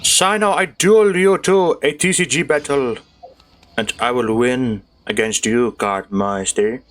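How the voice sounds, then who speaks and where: A woman's voice: rumbling low, a little slow. A woman giving casual, chatty gameplay commentary in a laid-back, slangy way as she streams. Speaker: streamer playing a video game. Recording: faint game music behind.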